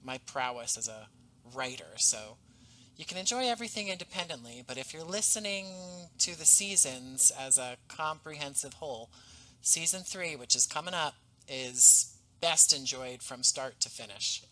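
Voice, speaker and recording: A man in his thirties, a podcast guest, speaking in a measured, articulate way over a headset mic on a video call. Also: mid-range voice, lively intonation, bright, forceful tone, poor audio. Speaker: podcast guest, audio drama writer. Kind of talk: casual interview talk. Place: home room with painting behind.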